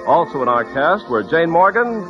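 A man's voice: fairly high pitch, very animated, normal speed, over music. A man announcing a scripted radio show and its cast in a mid-century broadcast style. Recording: muffled vintage radio recording.

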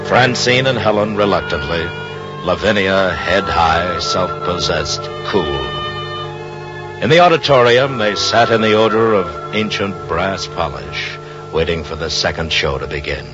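A grave male narrator of a radio suspense tale, with a very low voice and measured, literary delivery. Music plays clearly beneath him on a muffled vintage recording.

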